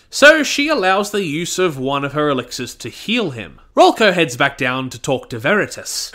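A man's voice: a little high, bright, forceful tone. A man delivering a scripted review narration in a blunt, sweary, opinionated manner. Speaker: reviewer, channel host.

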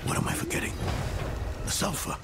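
A man playing a weary, doubt-ridden scholar-warrior in a dark fantasy game, grave and halting. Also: lightly acted. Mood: distracted, uncertain. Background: game music.

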